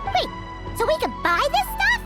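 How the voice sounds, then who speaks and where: A woman voicing a tiny, excitable, childlike fairy companion in a video game, chirpy and boastful. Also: very high-pitched, moderate pace, faint music behind, heavily acted. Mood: excited, curious. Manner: chirpy, boastful, refers to self in third person.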